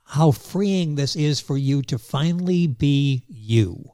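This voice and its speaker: A man's voice: fairly high pitch, lively intonation, slow pace, mellow, dry recording, clean studio sound. An older man hosting an interview, asking encouraging questions and promoting his guest's books. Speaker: talk show host.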